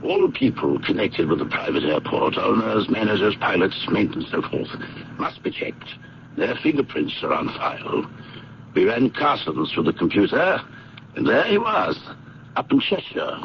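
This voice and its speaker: An actor playing a brisk, worried British police inspector in a radio drama, questioning in a clipped, probing way. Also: male voice, on the low side, very animated, vocal fry. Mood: pressing, worried. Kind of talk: old-time radio drama acting. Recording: muffled, noisy radio drama recording.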